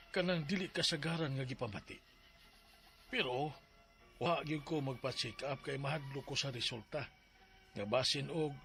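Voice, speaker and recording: A man's voice: mid-range voice, a bit bright. A man acting a stubborn Cebuano father in his sixties who narrates his own story in a radio drama. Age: 60s. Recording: music bed behind the voice.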